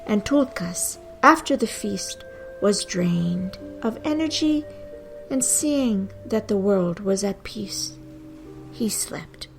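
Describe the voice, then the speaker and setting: Slow, creaky-voiced woman narrating myth. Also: medium pitch, lively intonation, music in the background. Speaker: podcast host and narrator. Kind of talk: dramatic scripted mythology narration.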